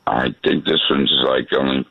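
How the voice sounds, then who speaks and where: A man calling in to a late-night radio show, rambling along with stops and restarts. His voice is very low and husky. It comes through a tinny phone line and sounds dry. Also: steady tone, dry recording. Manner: rambling, halting, many restarts. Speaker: caller. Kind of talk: phone call-in chat.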